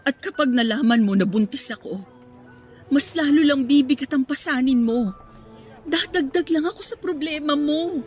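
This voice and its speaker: A woman's voice: high-pitched, moderate pace, faint music behind, lightly acted. A woman playing a guarded, firm single mother in a radio melodrama, emotional and defensive, with a Filipino accent. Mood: tearful confession.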